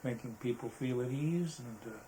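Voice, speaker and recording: Older american guy rambling thru memories, kinda halting, normal pitch, bit of noise in the background. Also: dark tone, rough recording. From Arizona, United States. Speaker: interviewee, veteran photographer. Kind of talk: casual reminiscing interview. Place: indoors, photographer's studio.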